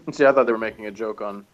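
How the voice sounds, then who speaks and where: A man's voice: on the low side, quick pace, lo-fi sound. A man chatting casually and unscripted as he reviews a show, in a hesitant, rambling, mildly sardonic way. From outside Britain. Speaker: co-reviewer of a TV series.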